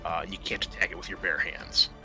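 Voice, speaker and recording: A man acting as game master, halting and informal as he explains rules and narrates a tabletop roleplaying game. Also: sits low, steady tone. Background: music.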